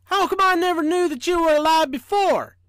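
A man's voice: extremely high pitch, measured pace, no echo, clean studio sound. A man playing a stern but kindly train engineer from a children's storybook, with a big, emphatic voice. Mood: amazed.

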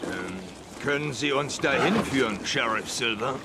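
An actor playing a cautious army general in a Western radio play, commanding but hesitant and grumbling. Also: male voice, mid-range voice, moderate pace, lightly acted. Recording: heavy background noise.

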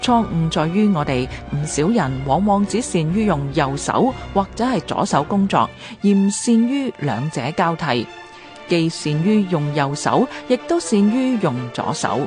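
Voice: medium pitch, very animated, a little slow. A narrator with a Hong Kong Cantonese accent reading a scripted historical radio narration. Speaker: documentary narrator. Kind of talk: scripted dramatic narration with read quotations. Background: music.

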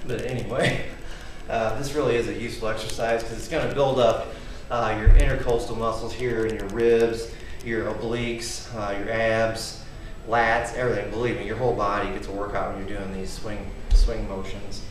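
A man's voice: lowish voice, level tone, normal speed, distant mic, background noise. Chatty man in an echoey garage. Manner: rambling, chatty, keeps asking "okay?". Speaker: home fitness vlogger. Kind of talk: casual demonstration and storytelling. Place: large wood-paneled garage at night. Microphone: camera mic from across the room.

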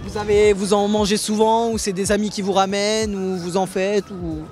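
A man's voice: high voice, little pitch movement, moderate pace, crowd noise. A young man interviewing passers-by on a busy city square, talking casually into a handheld mic. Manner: casual slangy questioning. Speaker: street interviewer. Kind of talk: casual street interview questions.

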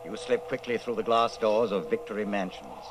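A man narrating in an ominous, deliberate old-time radio drama style. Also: on the low side, background noise.

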